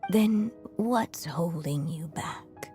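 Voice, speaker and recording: A woman voicing a gentle, solemn storyteller witch, the magical echo of a dead mother, in a game. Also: lowish voice, lively intonation. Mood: tender, resolute. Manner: tender, solemn, unhurried. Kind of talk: scripted game voice acting. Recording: music behind the voice.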